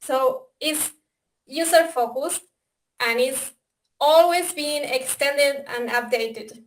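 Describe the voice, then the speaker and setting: High-voiced Argentinian woman presenting. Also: slow, rough recording. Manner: hesitant, many fillers and restarts. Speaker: software developer presenting. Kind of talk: webinar presentation. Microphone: video call.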